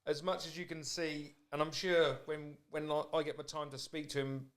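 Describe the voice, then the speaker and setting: A man's voice: a little high, flat delivery, normal speed, a bit bright. A man hosting a podcast, talking casually, reflective and encouraging. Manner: encouraging, reflective, frequent "yeah" backchannels. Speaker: podcast host. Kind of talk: casual conversation.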